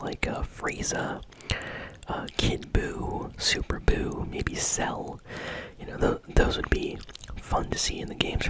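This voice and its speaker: Whispering ASMR host needed: breathy, unvoiced, casual and conversational delivery of news commentary. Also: poor audio. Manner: casual, conversational, soft-spoken. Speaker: host of a gaming news show.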